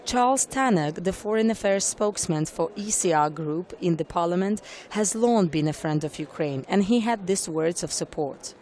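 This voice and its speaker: A woman news reporter giving clear, formal scripted narration. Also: on the low side, lively intonation, moderate pace, hardly any pauses, darkish tone.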